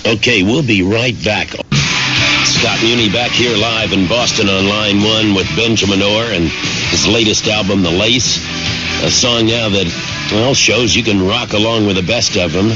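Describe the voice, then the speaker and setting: A man hosting a live call-in radio interview, joking easily with callers and announcing in a slow, deliberate way. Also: fairly low pitch, steady tone, over loud music. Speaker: radio host. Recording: dull lo-fi radio broadcast.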